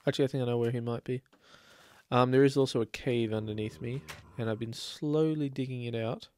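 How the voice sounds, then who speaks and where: A man's voice: mid-range voice, fairly even intonation, brisk, a little mellow. A man with a slight cold, chatting casually and rambling as he plays a building game. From Australia. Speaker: let's play host. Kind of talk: casual gameplay commentary.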